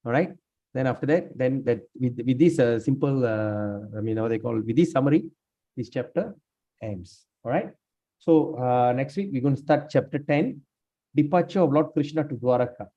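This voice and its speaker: A man leading a scripture class, speaking haltingly with many fillers and restarts while he has lost his voice. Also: mid-range voice, mellow. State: lost his voice, sprained neck. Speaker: class leader. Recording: dull lo-fi room recording.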